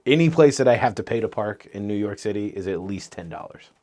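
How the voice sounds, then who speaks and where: A man's voice: on the low side, animated, fast talker, a little mellow. A young man co-hosting a casual podcast, teasing and argumentative in friendly debate. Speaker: podcast co-host. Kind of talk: casual chat.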